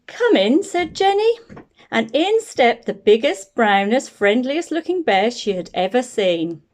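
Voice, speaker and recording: Expressive woman reading a children's story. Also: a little high, a little slow. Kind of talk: children's picture book read-aloud.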